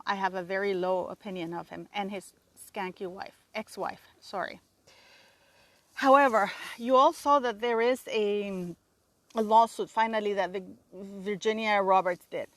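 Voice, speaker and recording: A woman's voice: mid-range voice, no room sound. A woman with a Canadian accent giving an indignant, rambling solo commentary as host of her own channel. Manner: emphatic, indignant, rambling with restarts.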